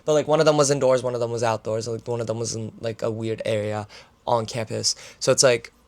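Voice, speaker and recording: Young male student vlogger, mid-pitch, gravelly fry, raspy today; loose filler-laden chat to camera. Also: little pitch movement, fast. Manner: filler-heavy, talks with his hands. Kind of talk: casual rambling vlog chat.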